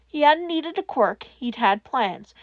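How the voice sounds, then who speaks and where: A woman reading a fan fiction story aloud in expressive scripted narration. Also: high voice, slow pace, no echo, narrow, tinny audio.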